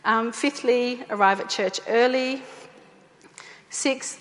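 A woman's voice: on the high side, takes it slowly, a bit bright. Woman teaching a group in an echoey hall. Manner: conversational, repeats phrases, many fillers. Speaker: speaker teaching a church group. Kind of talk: informal talk to a group.

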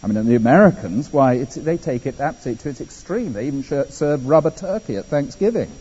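Animated English preacher in a dull echoey hall. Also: male voice, medium pitch, fast, slightly rough. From England. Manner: animated, conversational preaching with asides. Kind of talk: sermon.

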